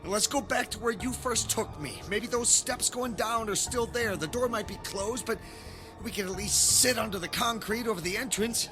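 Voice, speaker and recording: A high-pitched male voice from an actor plays an anxious survivor in an apocalypse drama. He is urgent and insistent, questioning and pleading, with music clearly underneath. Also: moderate pace, lightly acted. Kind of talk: dramatic acting.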